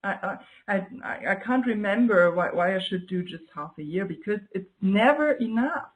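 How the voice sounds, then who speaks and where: A woman's voice: mid-range voice, expressive, moderate pace, poor audio. A woman from Germany, a native German speaker with a German accent, speaks as an interview guest in a reflective, casual way, often searching for her words. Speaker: guest, client giving a testimonial.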